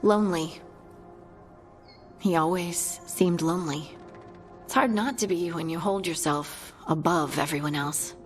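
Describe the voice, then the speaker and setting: A woman playing a warm, earnest young scout in a fantasy video game, chatting in a friendly, conversational way. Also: lowish voice, a little slow, long pauses, faint music behind, lightly acted. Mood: reflective. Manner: chatty, friendly, lightly amused.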